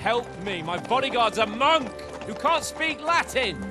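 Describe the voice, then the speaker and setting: Posh cocky young lord in a game, high lively voice, music behind. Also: male voice, measured pace, full character voice. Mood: excited, boastful. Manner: pompous, flippant, teasing. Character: haughty, high-spirited young nobleman, adventure-hungry and boastful. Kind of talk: video game voice acting.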